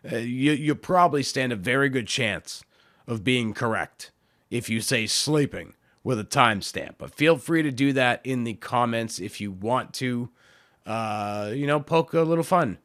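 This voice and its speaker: A man hosting his own podcast, talking in a loose, rambling way with lots of fillers, his voice hoarse. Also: mid-range voice, measured pace, a bit bright. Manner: rambling, filler-heavy, self-deprecating. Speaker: podcast host. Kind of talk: casual solo podcast talk.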